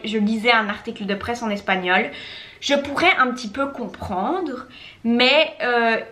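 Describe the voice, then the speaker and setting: A woman's voice: fairly high pitch, fairly bright tone. A young woman vlogging about language learning, talking casually with frequent restarts and slipping into English mid-sentence. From Belgium. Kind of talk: casual talking-head vlog.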